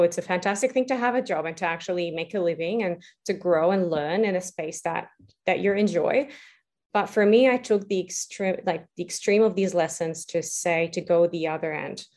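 A young adult woman being interviewed as an entrepreneur, reflecting aloud with many fillers and restarts. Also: on the low side. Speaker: guest, entrepreneur. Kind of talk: casual interview answers.

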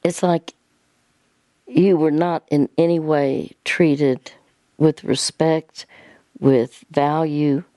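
Slow, halting older woman radio host. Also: sits low, fairly even intonation, warm tone, no room sound. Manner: slow, halting, many pauses and fillers. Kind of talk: gentle counseling conversation.

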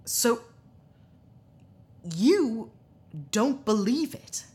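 An actress in a sci-fi audio drama playing a principled, stubborn, guarded heroine, earnest and blunt. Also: female voice, fairly high pitch, expressive, extremely slow, dark tone. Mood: doubtful.

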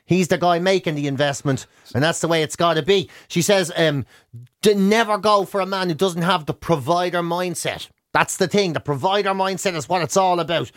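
A man's voice: sits high, a bit bright, dry recording, clean studio sound. An Irish man co-hosting a radio show with comic, casual banter, mocking and rambling in colourful slang.

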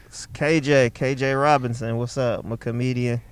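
A man's voice: mid-range voice, moderate pace, smooth. A young comedian from the Detroit area, chatting casually in an interview and acting out the people in his stories. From Detroit area, Michigan. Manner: animated storyteller, acts out voices, laughs often. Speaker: comedian guest.

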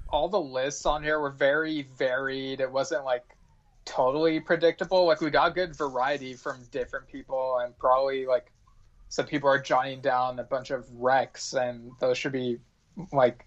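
A man's voice: medium pitch, little pitch movement, normal speed. A man co-hosting a podcast as a guest, explaining things in casual, hesitant chat full of fillers. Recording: slightly dull, lo-fi audio.

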